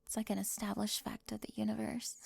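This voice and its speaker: A young woman voicing a sharp-tongued cheerleader bully for an ASMR roleplay, sarcastic and defensive, often dropping to a whisper close to the mic. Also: medium pitch, monotone, normal speed, gravelly, breathy edge, no echo.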